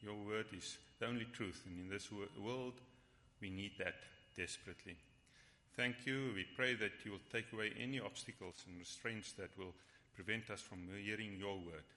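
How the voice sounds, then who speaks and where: A man whose first language is Afrikaans leads a church Bible study and prayer, speaking haltingly with frequent restarts. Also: fairly low pitch, bright, forceful tone, slight background noise. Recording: long hall reverb.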